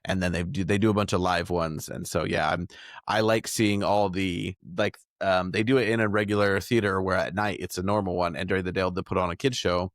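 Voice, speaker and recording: A man's voice: low voice, steady tone, a little fast, no echo, studio quality. A man co-hosting a podcast, talking in a casual, conversational style. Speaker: podcast co-host. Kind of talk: casual chat.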